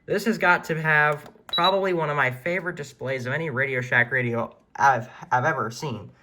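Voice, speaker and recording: A man's voice: fairly high pitch, steady tone, moderate pace, bright, forceful tone. A man hosting a casual show-and-tell in his room at home, talking in an informal, earnest hobbyist way. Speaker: video host showing a weather radio collection.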